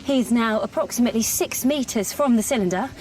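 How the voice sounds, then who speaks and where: A woman acting as a female scientist gives an anxious field report in a radio play. Her high voice halts and stammers under stress, over clearly noisy outdoor ambience. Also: a little fast, natural voice. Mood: tense, focused. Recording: noisy outdoor scene with loud background ambience.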